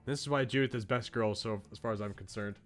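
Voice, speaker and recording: A man's voice: on the low side, fast talker. A man chatting casually as a live game streamer, with playful, rambling asides. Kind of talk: live gaming commentary, casual chat. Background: music.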